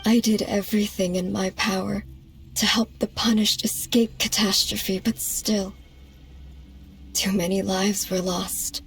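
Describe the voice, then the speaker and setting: A woman playing a dignified ancient moon goddess in a game cutscene, speaking in a measured, formal way. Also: medium pitch, level tone, creaky, rough recording. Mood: grave. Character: solemn, composed ancient moon goddess, elder sister. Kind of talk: dramatic game cutscene acting. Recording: background music under the voice.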